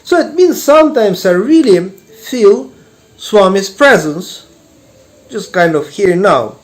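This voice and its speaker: A man with a Russian accent telling a personal story slowly, with many pauses and fillers. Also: high-pitched, big pitch swings. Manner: unhurried, halting, many fillers and restarts. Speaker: meditation teacher and artist.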